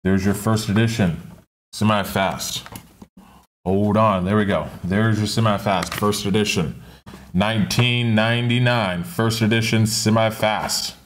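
A man's voice: on the low side, fairly even intonation, measured pace, noisy background. A man hosting a live-stream auction, repeating himself and hyping each item in an upbeat patter.

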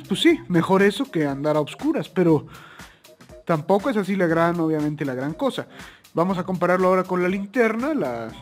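A young man speaking in a casual, chatty, folksy way as he hosts a product-test vlog. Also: sits high. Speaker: video host. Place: plain indoor room, also outdoors at night.

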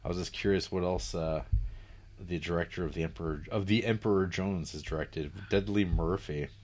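A man's voice: low-pitched, moderate pace, darkish tone. A man co-hosting a podcast, chatting casually with wry, dry jokes. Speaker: podcast co-host. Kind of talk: casual banter.

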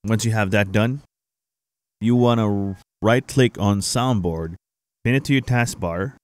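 A young man hosting a casual software tutorial, explaining things informally to viewers on a close microphone. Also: fairly low pitch, measured pace. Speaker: tutorial host, gaming streamer. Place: indoor home streaming setup. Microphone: close boom-arm microphone.

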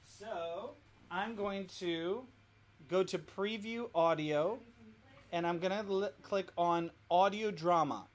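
A man's voice: high voice, takes it slowly. A man hosting from home, informally explaining things step by step in a casual walk-through. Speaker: host of a family worship demo. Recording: noisy home recording.

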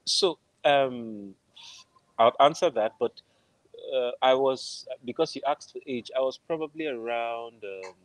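A man with a Nigerian accent talks casually as a guest on a video call, recounting things in a conversational way. His voice sits at a medium pitch, and the sound is dry with very little room in it. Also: unhurried, no room sound, rough recording. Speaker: interview guest, film composer. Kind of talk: casual interview storytelling. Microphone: wired earphones on a video call.

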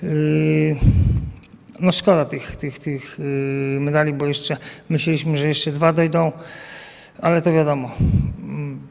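A man's voice: on the high side, little pitch movement, slow pace, gravelly. Coach guy in his 30s talking into a handheld mic outside, lots of umm and restarts, bit of a lingering echo. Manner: hesitant, many fillers and restarts. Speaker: national team coach. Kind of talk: interview answers. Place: outdoors by a banner at an event. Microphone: handheld mic held by interviewer.

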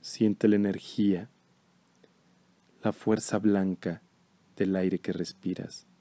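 A man leading a guided meditation in a calm, measured, instructional way. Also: sits low, no room sound.

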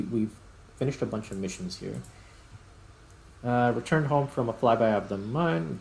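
A man giving casual gameplay commentary as host, thinking aloud in broken, halting phrases. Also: lowish voice, vocal fry, slight background noise. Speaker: gaming video host. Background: music.

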